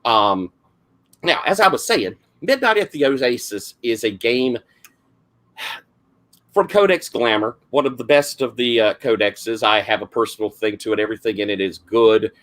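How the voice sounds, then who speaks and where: A middle-aged man with a heavy Southern accent and a gravelly voice, rambling through a casual introduction to the game he runs as game master, with lots of fillers and restarts. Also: medium pitch, animated, moderate pace, a bit bright. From South Carolina, US.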